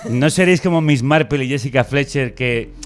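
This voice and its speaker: A man's voice: mid-range voice, moderate pace. A man with an accent from Spain presenting a radio show, moving between conversation and scripted introductions. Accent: Spanish, from Spain.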